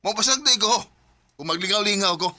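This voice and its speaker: A man's voice: high-pitched, expressive, quick pace, sharp tone, heavily acted. A man acting a resentful, hurt young husband in a Filipino radio drama, tense and wounded, with a Cebuano accent.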